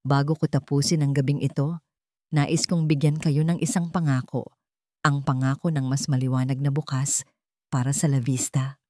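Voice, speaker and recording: A woman's voice: rumbling low, flat delivery, moderate pace, a little mellow, no room sound, studio quality. A woman voicing a wealthy, confident cheating husband who talks smugly, as if giving a speech. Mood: confident, proud. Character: smug, scheming cheating husband, a wealthy hotel heir.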